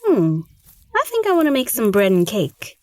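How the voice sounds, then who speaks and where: A woman's voice: fairly high pitch, wildly swooping pitch, normal speed, a little mellow. An actress playing a blunt, teasing young village woman in an audio drama.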